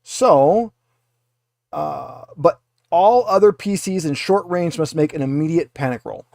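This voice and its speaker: Male game master, higher-set voice, instructional tabletop delivery, very dry recording. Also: a little slow, gravelly, a little mellow, no echo. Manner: instructional, guiding players step by step. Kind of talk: running a tabletop roleplaying game, explaining rules.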